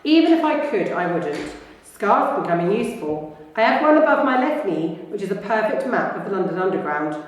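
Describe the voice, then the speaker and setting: A calm, wry old wizard headmaster, put on by a woman reading a storybook. He speaks in a measured way, with dry humor, heard from a distance in a room with long echoes. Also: medium pitch, lightly acted. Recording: echoey room, far from mic.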